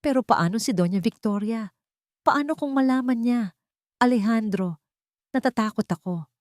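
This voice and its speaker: A woman's voice: on the high side, normal speed, darkish tone, dry recording, studio quality, pitched above the performer's own voice, close to an everyday voice. Woman voicing an anxious young Filipina housemaid. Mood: afraid, pleading. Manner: worried, pleading.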